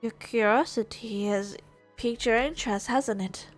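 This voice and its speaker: A woman game streamer reading on-screen dialogue aloud and reacting casually with soft, musing interjections. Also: on the high side, normal speed, faint music behind. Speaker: gameplay streamer.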